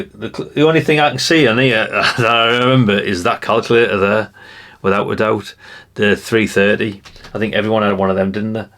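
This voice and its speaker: Male, middle-aged, British accent, slightly deep voice. Informal hobbyist vlogger, chatty and unscripted, meandering delivery. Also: a bit bright. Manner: rambling, chatty, addresses viewers informally. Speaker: vlogger showing vintage CB radios and catalogues. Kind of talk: casual unscripted show-and-tell chat.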